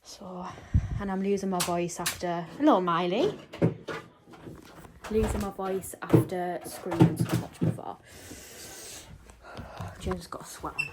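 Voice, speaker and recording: A woman's voice: fairly low pitch, steady tone, a little slow, pauses often, heavy background noise. A young woman, chatting casually to camera in a home vlog, rambling with lots of fillers. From North Yorkshire, England. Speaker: vlogger. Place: at home, indoors.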